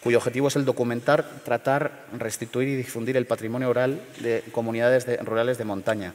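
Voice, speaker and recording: A middle-aged man from León in Spain, speaking at a panel forum in a measured, hesitant way with many fillers and restarts. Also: mid-range voice, steady tone, in a large hall. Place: large theater stage.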